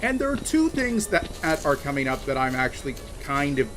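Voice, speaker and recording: A man chatting casually on a game livestream, talking in a halting, rambling way with many restarts and fillers. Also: on the high side, very animated, noisy background. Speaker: streamer. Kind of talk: casual livestream chat while gaming.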